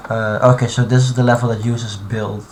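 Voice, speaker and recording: A man streaming live from his computer, talking through his modding in a halting, thinking-aloud way full of restarts. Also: mid-range voice, little pitch movement. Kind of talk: casual live-stream commentary while modding. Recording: clear background noise and typing.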